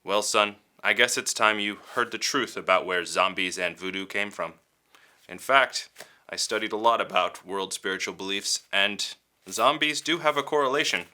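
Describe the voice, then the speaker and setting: A man's voice: lowish voice, normal speed, sharp tone. A man playing a fatherly ghost storyteller, narrating in a theatrical, explanatory way. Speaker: host and narrator. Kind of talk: scripted educational narration.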